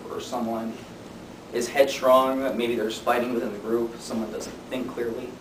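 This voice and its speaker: A young man giving an informative student speech in a conversational way with deadpan humor. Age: young adult. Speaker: student speaker. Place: classroom. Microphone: distant camera microphone. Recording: distant mic, echoey room, noisy rumble.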